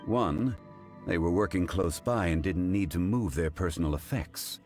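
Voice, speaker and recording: A man playing a composed, scholarly gentleman in a video game, speaking in a measured, formal and precise way. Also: deep voice, over music, lightly acted. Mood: calm, analytical.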